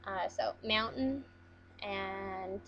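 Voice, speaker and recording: A young woman from Las Vegas talks casually to her camera, hesitant and full of small restarts. Her voice sits at an ordinary pitch. The recording is a bit muffled, with a faint hum underneath. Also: drawn-out words. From Las Vegas, USA. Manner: chatty, hesitant, many ums and restarts. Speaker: vlogger. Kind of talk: casual accent challenge video.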